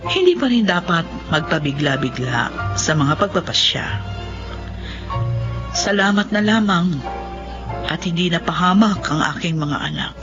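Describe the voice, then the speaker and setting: An actress playing a remorseful, hot-tempered middle-aged mother in a Filipino radio drama, with a Filipino accent. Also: fairly low pitch. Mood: reflective. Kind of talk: Filipino radio drama acting and first-person narration. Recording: dull lo-fi radio sound, music underneath.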